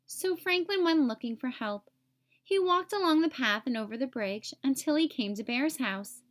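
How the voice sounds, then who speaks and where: High-pitched woman reading a children's storybook. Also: animated, moderate pace, fairly bright tone. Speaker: read-aloud host for young children. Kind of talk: children's storybook read-aloud narration.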